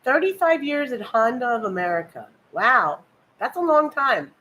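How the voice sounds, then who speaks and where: A woman's voice: fairly high pitch, sing-song, moderate pace, fairly bright tone, slight background noise. Chatty woman in her fifties. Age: 50s. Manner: chatty, reading out viewer comments. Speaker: co-host. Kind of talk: casual livestream chat.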